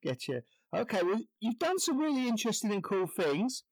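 A man's voice: high-pitched, sing-song, normal speed. A man from England hosting a podcast interview, casual and jokey, teasing his guest. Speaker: podcast host.

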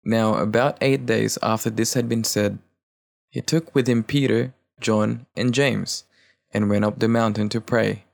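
A man reads scripture aloud in a fairly low voice. There is a clear creak running through it, giving the reading a gravelly edge. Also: fairly even intonation, unhurried. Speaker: volunteer scripture reader.

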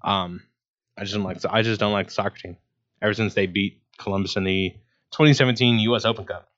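A man's voice: lowish voice, fairly even intonation, a little fast, fairly bright tone. A man hosting his own sports talk show, rambling casually with many ums and restarts. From Ohio, USA. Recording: dull lo-fi sound.

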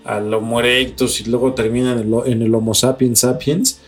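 A man's voice: medium pitch, flat delivery, normal speed. A Mexican man co-hosting a casual podcast debate, opinionated, slangy and freely swearing. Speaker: podcast co-host.

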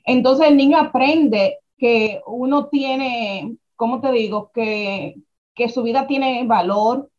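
A young Dominican woman with a Dominican accent, speaking as an interview guest in a lively way, with restarts and rhetorical questions. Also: on the high side, takes it slowly. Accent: Dominican Spanish. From Dominican Republic. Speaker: guest, founder of a writers' platform. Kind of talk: casual interview conversation. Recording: dull lo-fi sound.

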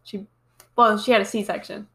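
A woman's voice: mid-range voice, fairly even intonation, moderate pace. Chatty young woman hosting a podcast. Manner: chatty, many fillers and likes. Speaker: podcast host. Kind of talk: casual chat.

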